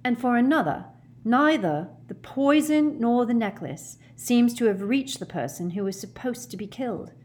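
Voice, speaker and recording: A woman voicing a brainy, earnest teenage girl who reasons quietly and precisely in a storybook. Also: on the high side, expressive, moderate pace, voice pushed up, natural voice. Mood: brooding.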